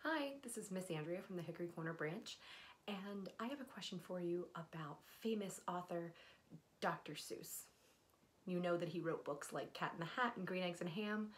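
A woman's voice: lowish voice, expressive, a little slow. A woman in her forties, a librarian putting a trivia question to camera in a small room. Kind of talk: quiz presentation to camera. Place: small indoor office room.

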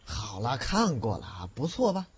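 Wheedling, evasive landlord played by a man, swooping pitch. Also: wildly swooping pitch, normal speed, very noisy. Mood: nervous, evasive. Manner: flustered, hedging, wheedling. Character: evasive, tight-fisted, whining landlord. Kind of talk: Chinese audio drama acting. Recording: muffled recording with music behind.

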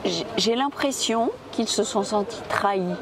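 A middle-aged woman from Tunisia, answering interview questions reflectively with pauses and restarts, on an outdoor café terrace with a lapel mic. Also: medium pitch, crowd noise. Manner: reflective, with frequent hesitations and restarts. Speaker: theatre director and physicist.

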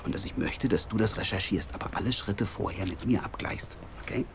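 An actor playing a driven, persuasive investigative team leader in an audio drama, casual yet insistent. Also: male voice, on the low side, fairly quick. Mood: confiding, affectionate. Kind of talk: naturalistic German audio drama acting. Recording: clearly noisy background.

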